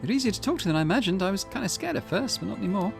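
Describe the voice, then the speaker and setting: A man's voice: high-pitched, expressive, rapid-fire, vocal fry, faint music behind, voice pushed up. A man voicing an earnest, polite and thoughtful young schoolgirl in a visual novel game. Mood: shy, friendly.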